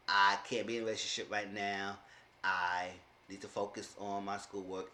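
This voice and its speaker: A man in his thirties casually recapping a reality show, telling the story with lively voices for the people he quotes. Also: echoey room, a little hiss or hum. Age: 30s. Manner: animated storytelling, acting out quoted people with big pitch jumps. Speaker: TV show reviewer.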